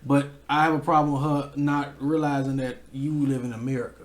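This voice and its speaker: A man's voice: medium pitch, level tone, fairly quick. A young adult man co-hosting a podcast, joking and bantering in casual group chat and laughing often. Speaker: podcast co-host.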